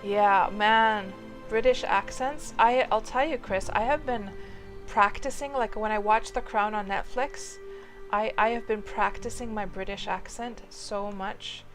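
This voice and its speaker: A woman's voice: mid-range voice, a little slow. A woman, live-streaming a game and chatting loosely with viewers, rambling with lots of fillers. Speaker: game livestreamer. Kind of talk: casual live-stream chat while playing. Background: music playing under the voice.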